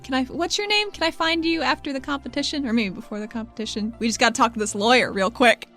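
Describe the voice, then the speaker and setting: A woman's voice: high voice, quick pace, fairly bright tone, soft background music. A woman voicing a casual, breezy, slightly awkward female investigator in a tabletop game. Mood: friendly. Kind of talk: tabletop roleplay character voice.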